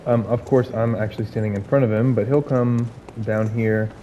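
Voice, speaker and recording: A man giving casual gameplay commentary, hoarse from illness, rambling with many fillers and restarts. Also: on the low side, fairly even intonation, normal speed, dull audio, background noise.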